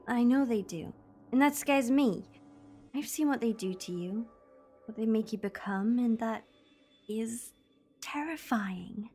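A high-pitched young woman's voice in a sci-fi audio drama, performed by an actress. She is a stubborn, disillusioned companion to a time traveller. She answers back bluntly and holds her ground. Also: unhurried, pauses often, darkish tone. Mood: hurt, grave.

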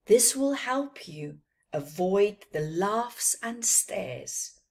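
Woman as a kindly, slow-spoken storybook merchant. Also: mid-range voice, lively intonation, a little mellow. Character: kindly, concerned male desert merchant.

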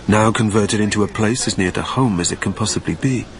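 A man playing a weary dead man who narrates his own haunting in a reflective, measured, lyrical manner in an audio drama. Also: fairly low pitch, natural voice. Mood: melancholy, reflective.

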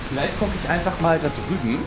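A man in his thirties wanders an indoor water park, thinking aloud in casual commentary. He is a little self-deprecating, and loud music plays behind his voice. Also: fairly high pitch, animated, quick pace. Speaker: video host, the seeker in hide and seek. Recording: loud music over indoor camera audio. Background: loud music, water noise.

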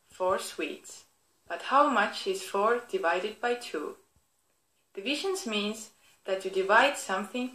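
A woman's voice: mid-range voice, a bit bright. Female actor as clever, friendly storybook teddy bear toy. Scripted children's demo, teacherly and encouraging, roomy echo. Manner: encouraging, instructive. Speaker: talking toy presenting itself. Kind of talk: scripted product demonstration for children.

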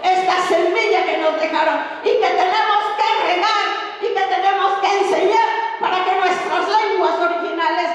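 A woman's voice: extremely high pitch, steady tone. A middle-aged woman from Oaxaca giving an impassioned, declamatory speech on stage into a handheld microphone. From Oaxaca, Mexico. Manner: impassioned, declamatory, slow emphatic phrasing. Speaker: pageant contestant giving a speech. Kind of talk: proud public speech on stage. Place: large hall stage at a cultural festival. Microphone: handheld microphone through a PA. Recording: very echoey hall, captured from far off.

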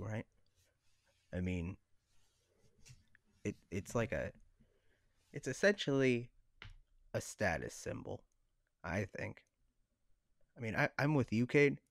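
Guy cohosting a podcast, average pitch, very dry close sound, kinda hesitant chatty delivery. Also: vocal fry, no room sound. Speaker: podcast co-host. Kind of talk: casual chat.

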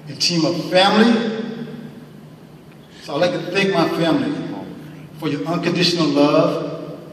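A man's voice: a little high, slight background noise. Earnest man speaking in an echoing hall. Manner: earnest, slow emphatic phrasing with thanks and faith. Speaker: hall of fame inductee. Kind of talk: acceptance speech. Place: large banquet hall, at a podium. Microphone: podium mic, recorded from far in the audience. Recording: echoey hall audio from far off.

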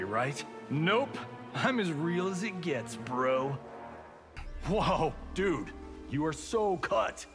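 Cocky Hollywood action star, American, higher male voice, wisecracking, slangy, animated delivery, video game banter over music. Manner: flippant, boastful, slangy.

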